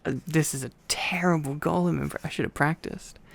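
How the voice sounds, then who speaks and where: A man reading a bedtime story in a sleepy, informal ASMR style, with relaxed rambling asides. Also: fairly high pitch, normal speed, creaky.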